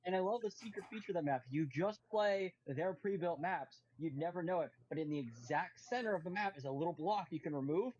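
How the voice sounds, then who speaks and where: A man's voice: sits high, expressive, brisk, few pauses, darkish tone, dull audio. A man in a casual chat about video games, explaining things in enthusiastic detail.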